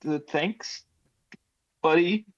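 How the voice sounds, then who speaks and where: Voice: medium pitch, drawn-out words, no room sound. Casual tabletop player on dull lo-fi audio. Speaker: player in a tabletop role-playing game. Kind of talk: casual game chat.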